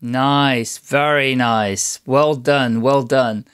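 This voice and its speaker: A man's voice: medium pitch, fairly even intonation, a bit bright, smooth. A man hosting a podcast, welcoming his guest and asking questions in an upbeat, encouraging way. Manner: upbeat, encouraging, quick acknowledgements. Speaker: podcast host. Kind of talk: podcast interview.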